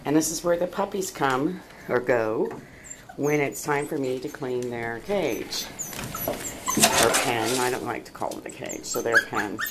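A woman's voice: a bass voice, unhurried. A woman filming her puppies in a small bathroom at home, talking to them in a cooing, baby-talk way on a handheld camera. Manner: coos and baby-talks to the puppies. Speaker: dog breeder. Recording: loud puppy noise behind.

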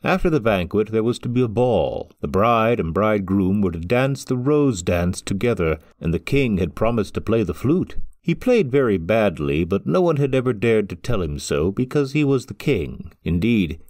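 A man narrating a scripted fairy tale in a measured, expressive way, drawing out the ends of phrases. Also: fairly low pitch, no echo, clean studio sound.

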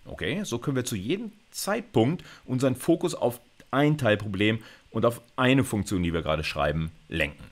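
A man's voice: medium pitch, lively intonation, moderate pace, few pauses. A man in his fifties giving an explanatory university video lecture in an informal, emphatic, conversational way, often checking in with short tag questions. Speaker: university computer science lecturer.

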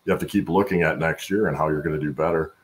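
A man with an extremely low, gravelly voice talks as a guest on a video call. He answers in a conversational way, like an experienced executive explaining his field. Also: fast. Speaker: podcast guest, supply chain executive. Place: indoor room at home, on a video call.

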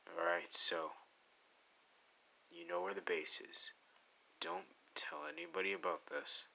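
A man playing a brooding, menacing young anime villain in an ASMR audio roleplay, deadpan and irritable with a grudging fondness. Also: a little high, vocal fry, heavily acted, low-quality recording. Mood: gruff, grudgingly fond. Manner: deadpan, slow, irritable, teasing threats.

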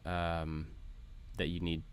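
A man's voice: deep voice, completely flat delivery, takes it slowly, clear, resonant tone. A man narrating an informal code walkthrough as a tutorial host, explaining things step by step. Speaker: host of a maker tutorial channel. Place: voice-over for a screen recording.

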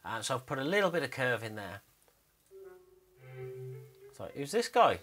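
A man's voice: medium pitch, very animated, normal speed, over music. A man narrating a screen-recorded walkthrough as an animator, casual, rambling and a little self-deprecating in how he explains things.

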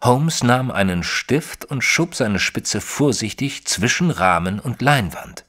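An actor playing a thoughtful detective's companion who narrates an audio drama in a measured, careful way. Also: male voice, mid-range voice, animated, a bit bright, big-room sound. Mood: narrating. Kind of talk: scripted first-person audio drama narration.

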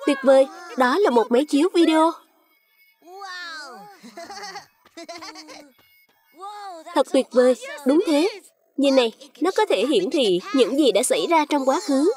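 A woman voicing excitable, childlike little fruit schoolchildren in a cartoon dub. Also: high voice, moderate pace, faint music behind. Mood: amazed.

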